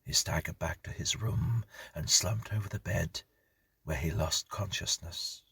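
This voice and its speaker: Male horror audiobook reader: extremely husky and breathy, dry intimate sound, measured and grave delivery. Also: deep voice, bright, forceful tone, no room sound. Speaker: narrator, story reader. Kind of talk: scripted horror story narration.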